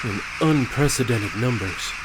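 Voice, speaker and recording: A man playing a stern, composed prison warden and mentor in an audio drama, speaking in a measured, formal, instructive way. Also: mid-range voice, lively intonation, very noisy, natural voice. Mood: grave, urgent.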